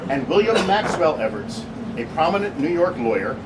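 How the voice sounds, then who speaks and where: A man with a slightly high voice reads a light, humorous tribute, slipping in wry asides as he goes. He is picked up from some distance, with very loud room noise around him. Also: big pitch swings, normal speed. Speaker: speech reader at a birthday celebration. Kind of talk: reading a humorous tribute speech with ad-libbed asides.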